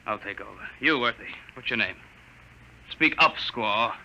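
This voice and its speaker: An actor playing an arrogant, bullying military lieutenant in a 1950s radio drama, barking and imperious. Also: male voice, fairly low pitch, lively intonation, moderate pace, creaky, bright, forceful tone, full character voice, rough recording. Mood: contemptuous command. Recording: old radio recording with faint noise.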